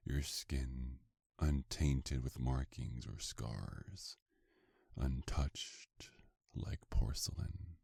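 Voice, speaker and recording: Man acting a very deep, sinister vampire boss. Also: gravelly, darkish tone, husky, dry recording, clean studio sound, exaggerated voice. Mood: seductive menace. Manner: slow, drawn-out, taunting, intimate murmur. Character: sinister seductive male vampire mafia boss, possessive and mocking. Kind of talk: ASMR roleplay acting.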